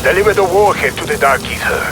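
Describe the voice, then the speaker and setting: Man acting commanding game character, grim, highish pitch, music behind. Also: expressive, quick pace, natural voice. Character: commanding, grim man giving mission orders. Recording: music and vehicle noise behind.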